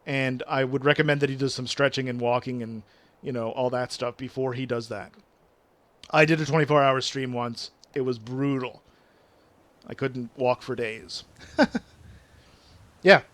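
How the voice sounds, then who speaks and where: A man's voice: mid-range voice, steady tone, measured pace, long pauses, a bit bright. A man with a regional French accent, a native French speaker, chatting casually with viewers on a game stream and reading their messages aloud. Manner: chatty, reads chat aloud, uses big words.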